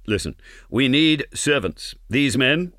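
A commanding storybook adventurer, voiced by a male reader, gives orders in firm, formal, old-fashioned phrases. The tone is bright and forceful, recorded very dry. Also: medium pitch, expressive, slow, dry recording, voice pushed up. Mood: stern, commanding. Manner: firm, archaic formal speech. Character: stern commanding male adventurer, leader, telling his tale.

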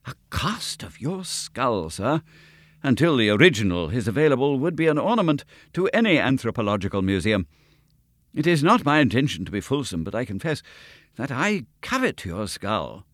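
Man doing an excitable, fussy young doctor. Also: normal speed, no echo. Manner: excitable, effusive and high-strung. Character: eager, fussy young male country doctor. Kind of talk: audiobook character reading.

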